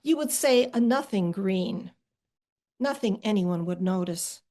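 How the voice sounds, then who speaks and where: Poet woman reading slowly, measured. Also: mid-range voice, expressive, dark tone. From Ukrainian-American family. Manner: hesitant with fillers between reading, slow deliberate reading of poems. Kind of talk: poetry reading and conversation.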